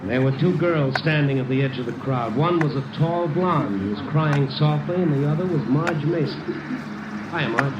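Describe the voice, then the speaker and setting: An actor playing a cynical, slangy newspaper reporter in 1950s radio noir style. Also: male voice, a little high, normal speed, far from the mic, lightly acted. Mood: wry narration. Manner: wry, slangy, tough-talking. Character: hard-boiled male newspaper reporter, wry and cynical. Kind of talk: 1950s radio noir acting and first-person narration. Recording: noisy, muffled, roomy old radio recording.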